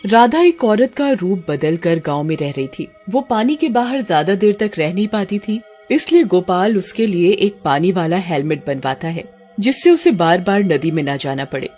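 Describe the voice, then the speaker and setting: A woman's voice: on the low side, lively intonation, normal speed, darkish tone, faint music behind. A woman narrator telling a scripted children's cartoon story.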